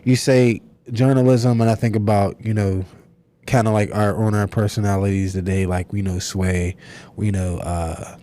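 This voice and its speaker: A man co-hosts a casual podcast interview. His voice is low and mellow, and his questions come out loose and meandering, with frequent restarts. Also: steady tone, normal speed, dry recording. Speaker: podcast co-host.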